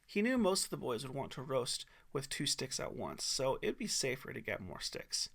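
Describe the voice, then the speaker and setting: A man narrating a scripted story as an audiobook reader, in an expressive storytelling style. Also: medium pitch, moderate pace, vocal fry, slightly rough.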